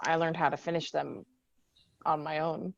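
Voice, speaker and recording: A woman's voice: deep voice, flat delivery, normal speed, creaky, dull audio. A woman reading the part of a tough, guarded young female Marine lieutenant in a screenplay table read, with blunt, sardonic delivery. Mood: guarded, reflective. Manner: blunt, swearing, defiant.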